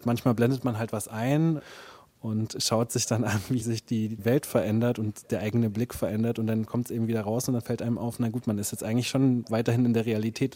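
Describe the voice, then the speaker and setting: A man's voice: on the low side, dark tone, no echo. A man being interviewed as a musician and author, talking reflectively and often searching for the right words. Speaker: interview guest, musician and radio play author.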